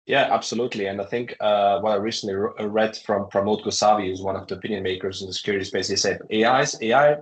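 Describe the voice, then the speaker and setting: A man, a startup founder, answering interview questions in a halting way, with many fillers and restarts. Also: on the low side, steady tone. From Croatia. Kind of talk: conversational interview. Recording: echoey room.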